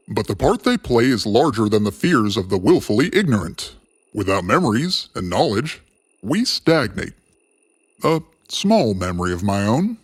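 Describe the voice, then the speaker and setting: A man narrating a scripted story theatrically, with ornate phrasing and dramatic, drawn-out pauses. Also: medium pitch, no room sound, studio quality. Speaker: storyteller and host. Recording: clear music bed behind narration. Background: music.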